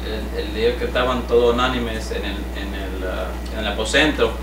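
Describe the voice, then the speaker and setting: A man in a home Bible study group, answering in a halting way that repeats words and falls back on amens. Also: fairly low pitch, fairly even intonation. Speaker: church member in Bible study. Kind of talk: Bible reading and group discussion. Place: living room, small group seated. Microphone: far camera. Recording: echoey room from far off, clear background noise.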